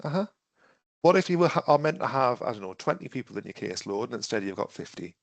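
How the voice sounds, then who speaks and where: Gravelly-voiced man leading a webinar. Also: mid-range voice, sing-song, quick pace, no room sound. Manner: conversational, thinks aloud with restarts, asks the audience questions. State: has a cough and is losing his voice. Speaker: webinar trainer. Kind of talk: interactive online training talk.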